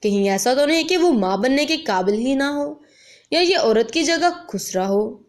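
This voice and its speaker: A woman's voice: high voice, animated, normal speed. A woman storyteller voicing a domineering, scornful, stubborn older male village landlord. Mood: insinuating scorn. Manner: imperious, scornful.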